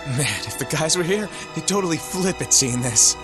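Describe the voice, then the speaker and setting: A man's voice: fairly high pitch, animated, moderate pace, over music, close to an everyday voice. An actor playing a casual, slangy young man with a slightly moody, laid-back manner in a video game. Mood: amazed. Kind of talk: video game cutscene acting.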